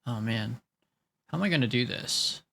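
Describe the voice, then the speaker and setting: A young man in his late twenties streams live and chats loosely with his viewers while he works on a drawing. His mid-range voice has a gravelly, creaky edge. He rambles, loses his train of thought and laughs easily. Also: medium pitch, expressive, normal speed, bright, forceful tone. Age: 20s. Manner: rambling, chatty, laughs often, talks to chat.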